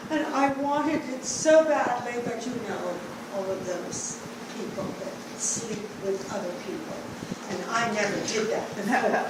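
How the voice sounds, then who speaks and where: A flighty, self-absorbed would-be ballerina who rambles on in a gossipy way, played by a woman on stage. Her voice is high and unhurried. It is picked up from far back in an echoing hall with loud noise. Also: natural voice. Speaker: improv player.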